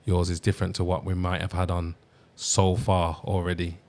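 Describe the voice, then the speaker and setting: A man hosting an interview, asking slow, hesitant questions full of fillers. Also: deep voice, monotone, a crowd in the background. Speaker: podcast host. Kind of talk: casual interview.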